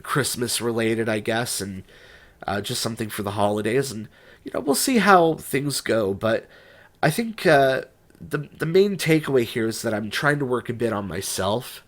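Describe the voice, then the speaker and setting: Young male vlogger, congested from a cold, mid-pitched, hesitant and rambling with lots of restarts. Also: animated, moderate pace. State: stuffed up, recovering from a cold. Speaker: music writer and YouTuber. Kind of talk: unscripted vlog monologue.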